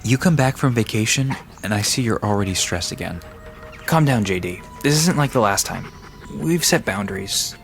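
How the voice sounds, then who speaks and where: A woman's voice: a bass voice, lively intonation, moderate pace, gravelly, faint music behind, heavily acted. A woman playing an easygoing, knowledgeable park guide in an audio drama, casual and reassuring as they explain things. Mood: calming.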